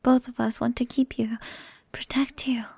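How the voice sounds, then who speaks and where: A woman puts on a dramatic, possessive female pirate captain for an ASMR roleplay. Her fairly high voice is husky and crackles with fry. She is sultry and taunting, often dropping into whispers. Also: flat delivery, moderate pace, darkish tone, no room sound. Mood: possessive, proud.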